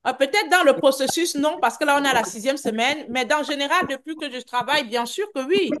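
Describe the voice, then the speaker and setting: Woman coach with a slightly high voice. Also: brisk, a crowd in the background. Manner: repeats words, restarts sentences, reassuring. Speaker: health and weight-loss coach. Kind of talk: live group coaching call.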